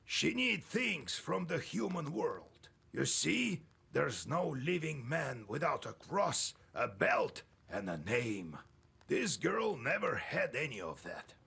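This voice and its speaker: An old game sorcerer, harsh bright rasp, unhurried, vengeful storyteller. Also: male voice, a little high, very animated, heavily acted. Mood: explaining. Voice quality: gravelly, raspy. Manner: slow, dramatic, cursing storytelling. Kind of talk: video game voice acting.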